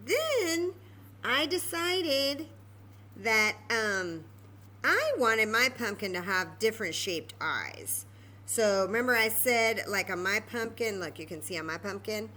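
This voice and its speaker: A woman's voice: on the high side, expressive, slow, sharp tone. A woman hosting a children's library story time and craft lesson, explaining simply step by step in an informal, chatty way.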